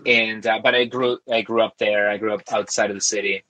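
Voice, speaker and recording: Man, lowish voice, relaxed chat. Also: fairly quick, poor audio. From Argentina. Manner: relaxed, talkative, frequent fillers and restarts. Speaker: guest, tech founder. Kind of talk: casual interview conversation.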